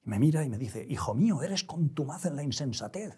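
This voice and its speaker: A middle-aged man who is a writer, talking reflectively in an interview, choosing his words with pauses and restarts. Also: on the high side, animated, dark tone, breathy edge. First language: Spanish. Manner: measured, searching for words, frequent restarts. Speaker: novelist, interview guest.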